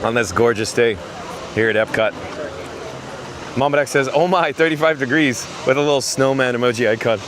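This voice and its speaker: Hoarse man, friendly walk-and-talk streamer, medium pitch, outdoors on a phone. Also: brisk, long pauses, background noise. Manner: chatty, reads chat messages aloud. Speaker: live-stream vlogger. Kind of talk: live-stream walking commentary. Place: outdoors at a theme park.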